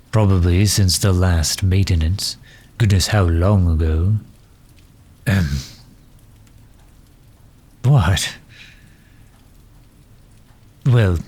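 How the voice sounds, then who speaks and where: Supervillain played by a man for ASMR roleplay drama: very low, unhurried, pompous and pedantic, condescending yet affable. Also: darkish tone, husky, exaggerated voice. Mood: matter-of-fact. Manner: condescending, wordy, hesitant pauses. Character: pompous, pedantic, affable gentleman supervillain, fond of his hero.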